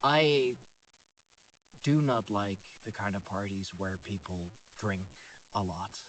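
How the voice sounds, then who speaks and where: A man's voice: lowish voice, animated, slow pace, fairly bright tone, slight background noise, rough recording. A man with a Dutch accent hosting a podcast in English, his second language. He talks hesitantly, with fillers and restarts. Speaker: podcast host. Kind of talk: casual podcast conversation.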